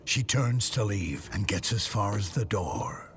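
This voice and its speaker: A man's voice: lowish voice, animated, a little slow, background noise. A man giving dramatic scripted narration for an audio drama.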